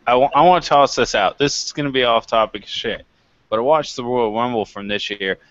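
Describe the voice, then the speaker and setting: A man's voice: medium pitch, brisk, a bit bright, dry recording, highs cut off. A man on a review panel in a casual, opinionated rant, incredulous and swearing freely.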